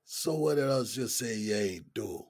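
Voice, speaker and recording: An actor playing an easygoing, folksy older male inmate in his sixties in an audio drama, with a deep, raspy smoker's voice and a Gullah Geechee accent. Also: lively intonation, darkish tone, no echo. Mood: wry, easygoing. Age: 60s. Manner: folksy, unhurried, colloquial drawl.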